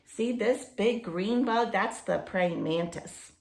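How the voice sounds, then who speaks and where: Slow-paced woman reading a children's story. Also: mid-range voice, gravelly, echoey room. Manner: explains to young listeners with simple questions and expressive emphasis. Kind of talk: read-aloud picture book storytelling with asides to children.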